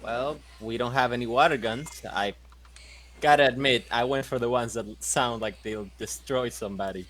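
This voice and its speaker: A man's voice: mid-range voice, moderate pace, a bit bright, slight background noise. A man playing a tabletop game, talking casually with swearing and sarcasm. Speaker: player and ship pilot.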